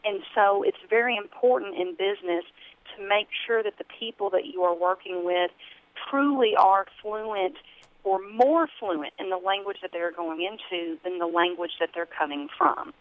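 A woman's voice: mid-range voice, normal speed, no echo. A woman from West Kentucky with a Southern American accent, a guest on a radio talk show who explains her trade in a folksy, confident way. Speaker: translation company owner, guest. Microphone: telephone. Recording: tinny phone line.

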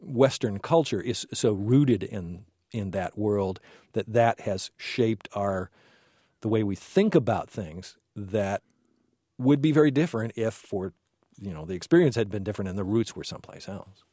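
A male host with a fairly low voice. He asks long, meandering questions, circling back and restarting as he thinks. The recording is dry and close. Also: expressive, moderate pace, dark tone, no room sound. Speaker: interviewer host. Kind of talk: conversational interview.

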